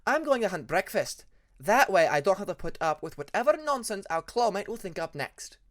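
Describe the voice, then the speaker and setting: A narrator voicing a brisk, haughty young female ice dragon in an audiobook, curt and disapproving. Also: mid-range voice, sing-song, voice pushed up, natural voice. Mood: curt annoyance.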